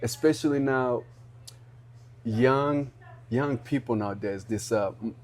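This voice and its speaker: A young man from Rwanda hosting a podcast, talking in an earnest, halting way with many restarts. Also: mid-range voice. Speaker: podcast host. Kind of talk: casual interview chat.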